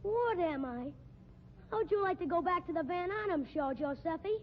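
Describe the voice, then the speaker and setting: An actor playing a sneering, spiteful little circus midget with a small piping voice, pompous and taunting, in 1950s radio drama style. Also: male voice, very high voice, lively intonation, moderate pace. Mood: haughty, threatening. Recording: muffled, noisy old radio recording.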